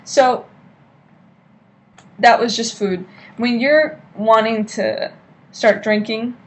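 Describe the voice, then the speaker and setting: A young woman giving an informal class speech into a laptop webcam in a hotel room, often checking in with "okay?". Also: medium pitch. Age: young adult. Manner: chatty, keeps checking in with "okay?". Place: hotel room at night, lamp-lit. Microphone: laptop webcam at desk.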